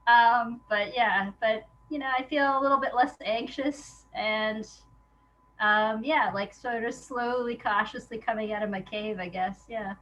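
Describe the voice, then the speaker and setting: A woman's voice: fairly high pitch, steady tone, a little slow, fairly bright tone, muffled recording. A woman speaking as an interview guest in a casual, reflective way, candid and hesitant, often restarting her thoughts. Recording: noticeable background noise.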